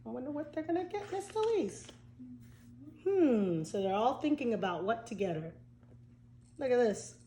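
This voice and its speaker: A woman teacher reading a storybook aloud to children, expressive and speaking directly to young listeners. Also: fairly high pitch, normal speed, pauses often, slight background noise. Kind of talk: storybook read-aloud with asides. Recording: echoey room.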